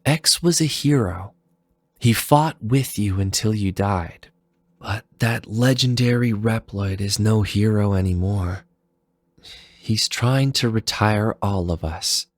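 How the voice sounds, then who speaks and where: A man voicing an earnest, urgent female android scientist in a dramatic fan fiction reading, hushed and imploring. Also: lowish voice, slow, no echo, voice pushed up. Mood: grave.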